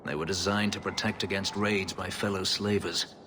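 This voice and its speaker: An actor playing a brooding male elf, an escaped slave and warrior, speaking in a grave, measured, bitter way. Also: deep voice, fairly even intonation, noisy background, natural voice. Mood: grim. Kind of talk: video game voice acting. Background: game music score.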